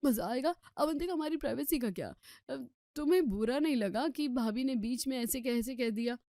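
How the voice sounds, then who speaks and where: A woman's voice: sits high, animated, normal speed, mellow, no room sound, studio quality. A woman voicing a sulky, scheming young husband who complains with exasperation in a family story. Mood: sulky, indignant.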